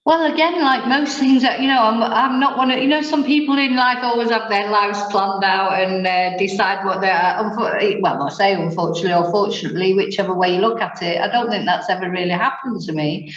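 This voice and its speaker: A woman's voice: mid-range voice, fairly quick, few pauses, roomy sound, dull audio. A woman in her forties from Manchester with a Mancunian accent, a language teacher telling her story as a guest in a casual interview, rambling with fillers and small chuckles. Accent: Mancunian English. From England.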